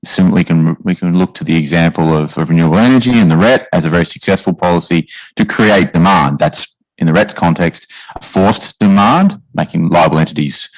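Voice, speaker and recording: A deep-voiced man moderates a conference panel and puts questions to the panel. He repeats words and restarts phrases as he goes. The sound is dry and close. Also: a little fast, no echo, low-quality recording.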